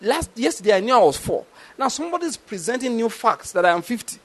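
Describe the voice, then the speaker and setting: A man's voice: very high voice, big pitch swings, fast talker, fairly bright tone. A middle-aged man preaching a sermon, telling stories and asking rhetorical questions. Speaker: pastor.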